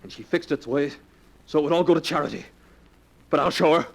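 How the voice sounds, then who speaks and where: An actor playing a greedy, bitter, unhinged murderous grandson, melodramatic and vengeful in 1940s radio style. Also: male voice, on the high side, fairly quick, creaky. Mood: mounting frenzy. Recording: noisy old 1940s radio recording.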